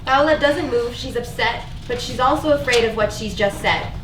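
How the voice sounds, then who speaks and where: A woman's voice: a little high, normal speed. A woman reading stage directions as scripted narration at a staged reading. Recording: echoey room, distant mic, clear noise.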